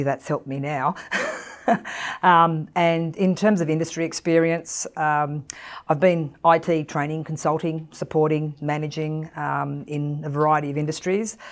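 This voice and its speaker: Low-voiced chatty Australian woman. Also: flat delivery, moderate pace. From Croatia. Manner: chatty, informal, rambling lists. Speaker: training manager. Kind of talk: casual self-introduction.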